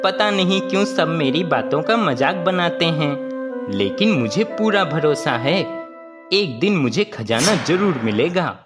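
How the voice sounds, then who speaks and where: A man's voice: on the high side, lively intonation, measured pace, over loud music. A man voicing a naive, earnest and meek village farmer in a cartoon moral story. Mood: earnest, wistful. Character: naive, hopeful village farmer dreaming of treasure.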